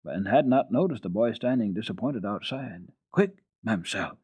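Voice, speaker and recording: A man giving an expressive, deliberate dramatic reading of children's literature as a radio storyteller. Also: on the low side, husky. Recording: dull phone-like old radio recording, roomy echo.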